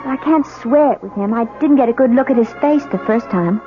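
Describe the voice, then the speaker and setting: A woman's voice: fairly high pitch, a little fast, natural voice. A woman playing an anxious, suspicious newlywed wife in a radio drama, speaking politely and hesitantly. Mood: uneasy. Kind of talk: 1970s radio drama acting. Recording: tinny band-limited old radio, music behind.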